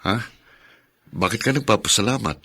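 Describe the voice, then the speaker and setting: A man's voice: lowish voice, lively intonation, moderate pace, vocal fry, sharp tone, breathy edge, dry recording, heavily acted. An actor playing a supportive, affectionate husband and father in a Filipino radio drama, earnest and encouraging. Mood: pleased, affectionate.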